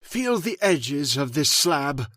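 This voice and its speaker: An actor playing a credulous old man steeped in occult lore, speaking slowly and solemnly in old-time radio drama style. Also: a little high, big pitch swings, fairly bright tone, dry recording, clean studio sound, heavily acted. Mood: grave. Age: older. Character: credulous old male scholar of the occult, grave.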